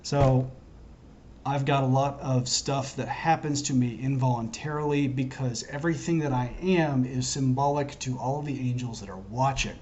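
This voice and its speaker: Hoarse man rambling in a monologue. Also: mid-range voice, moderate pace, a little mellow, muffled recording, slight background noise. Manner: wandering, self-interrupting, earnest. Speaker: self-styled prophet vlogger. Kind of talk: rambling solo monologue to camera.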